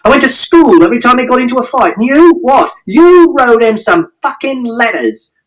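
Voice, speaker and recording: A man voicing an angry, shouting middle-aged father in an audiobook reading. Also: mid-range voice, expressive, measured pace, close to an everyday voice, low-quality recording. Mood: furious. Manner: shouting, furious, swearing.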